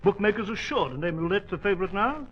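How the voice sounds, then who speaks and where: An actor playing a bluff, puzzled older English gentleman doctor in 1940s radio drama, with a British accent and blustery, exclaiming manner. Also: high voice, animated, quick pace, breathy edge. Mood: bluff, curious. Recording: dull, noisy vintage radio recording.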